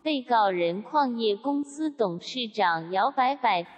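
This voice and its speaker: A woman's voice: on the high side, expressive, unhurried, dull audio. A woman playing a solemn, formal court clerk in a scripted audio drama, drawing out announcements. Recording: husky sound in long hall reverb.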